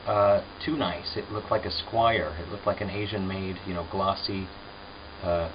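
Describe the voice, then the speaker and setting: Low-voiced man, dull humming recording. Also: fairly even intonation, measured pace. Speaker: guitar repairer and restorer, host. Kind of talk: casual workshop demonstration. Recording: dull lo-fi sound with hum and noise.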